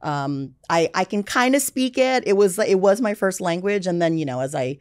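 A woman in her forties talks in a low, relaxed register, loose and conversational, with fillers scattered through her thoughts. She sits in a dark studio, close to a boom-mounted podcast mic, with a dry, dead sound. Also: normal speed, dry recording. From United States. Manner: chatty, many fillers like "you know" and "like". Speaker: film music executive, guest. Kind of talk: casual interview chat. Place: dark studio set, seated in armchair. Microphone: podcast mic on boom arm.

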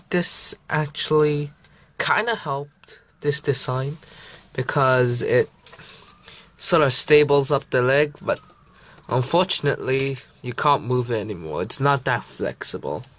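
Slow-paced young man showing a hobby build. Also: mid-range voice, a bit bright. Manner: halting, informal, stops to narrate handling the pieces. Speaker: hobby video creator presenting a toy build. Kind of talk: casual unscripted show-and-tell. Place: indoors, small room at home. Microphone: handheld phone behind the camera.

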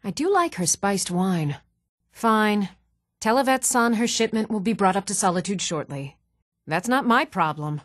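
A composed townswoman of a fantasy realm, acted by a woman, speaks in a measured, even tone at a middling pitch. The lines are scripted video game dialogue, recorded very dry with no room around the voice. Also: dry recording, natural voice.

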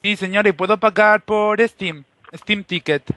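A man playing an insistent, boastful foreign gamer on a prank call, halting and repetitive, with a put-on Moroccan accent. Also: high-pitched, steady tone, bright, forceful tone, no echo. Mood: confused, insistent.

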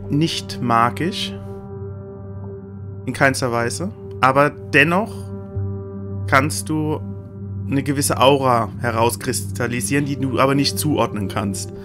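A man improvising narration as game master of a tabletop game, haltingly and with mid-sentence pauses. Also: medium pitch. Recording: guitar music behind the voice. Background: guitar music.

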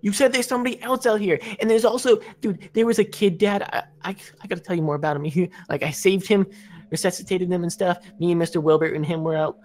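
A man's voice: high voice, fast talker, darkish tone, close to an everyday voice. A man playing an earnest, practical young son who survived a plane crash, in a casual improvised game roleplay. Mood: concerned, explaining.

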